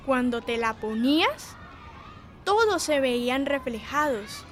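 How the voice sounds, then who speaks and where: An earnest little girl in a radio drama, high-pitched and unhurried. She spins a tale with wonder, stressing and repeating words, over clear music. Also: very animated, heavily acted. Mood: earnest storytelling. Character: little migrant girl storyteller, earnest and imaginative.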